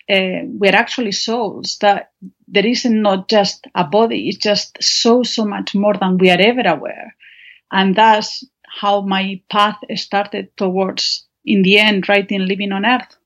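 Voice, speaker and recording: Casting: female interview guest, Spanish accent, mid-pitched, recounting personal experience over muffled lo-fi audio. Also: steady tone, a little slow. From Spain. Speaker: guest author. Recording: dull, band-limited lo-fi sound.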